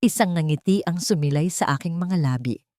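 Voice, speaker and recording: A woman with a Filipino accent narrating a dramatic first-person story in measured, solemn, deliberate phrases. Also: deep voice, warm tone, dry recording, studio quality. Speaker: audiobook narrator.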